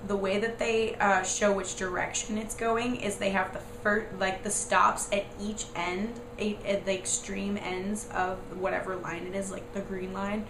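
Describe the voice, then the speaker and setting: Young American woman vlogger, hoarse and croaky from a cold, rambling and casual; echoey room, noisy backdrop. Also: flat delivery, measured pace, hardly any pauses. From United States. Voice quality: raspy, squeaky and croaky. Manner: rambling, lots of "like" and "um". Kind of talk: casual chatty explanation.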